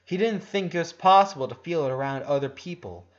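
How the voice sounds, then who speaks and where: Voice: mid-range voice, animated, brisk, dull audio. A narrator giving a dramatic reading of prose fiction.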